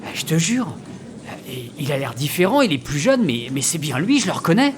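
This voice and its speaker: A man playing a naive, excitable time-traveling new recruit in a French audio comedy, with a flustered, stammering delivery. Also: on the high side, a little fast, noisy background. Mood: excited.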